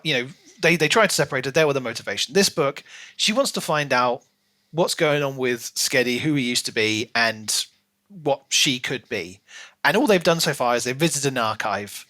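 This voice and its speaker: A man's voice: a little high, pushed and bright. A man co-hosting a podcast, talking casually through a book and acting out bits as he explains. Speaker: podcast co-host.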